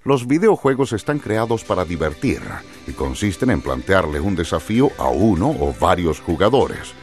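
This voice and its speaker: A man narrates an educational radio programme from a script. His voice is low, with lively, varied intonation. Music plays softly underneath. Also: moderate pace.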